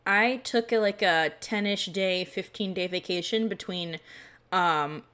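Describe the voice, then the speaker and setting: A woman's voice: medium pitch, a little slow, bright, forceful tone. Casting: woman podcast host, mid-range voice, chatty and informal, self-deprecating, wandering through her sentences with plenty of "like.". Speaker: library professional. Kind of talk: casual bookish chat.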